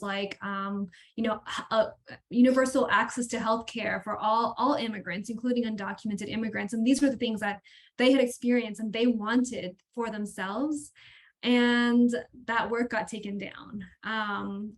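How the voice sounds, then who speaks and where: An American woman in her thirties speaking as an educator on an online panel, earnest, informal and emphatic. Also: mid-range voice. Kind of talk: conversational panel talk. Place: indoors on video call.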